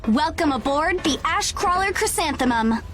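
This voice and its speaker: A young female operator with a high, girlish voice. She is polite and earnest, giving courteous briefings in game cutscene style over prominent music. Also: expressive, normal speed, fairly bright tone. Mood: welcoming. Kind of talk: video game cutscene acting.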